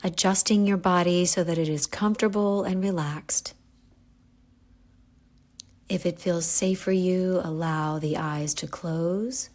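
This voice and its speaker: A woman guiding a yoga nidra relaxation, giving calm, gentle, unhurried instructions with pauses. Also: fairly low pitch. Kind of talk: guided relaxation meditation.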